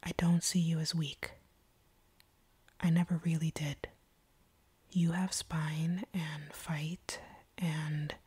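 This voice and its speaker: A woman playing an ancient vampire captor, stern but softening, in a breathy, husky whisper for an intimate audio roleplay. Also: sits low, no room sound, heavily acted. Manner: slow, deliberate, hushed.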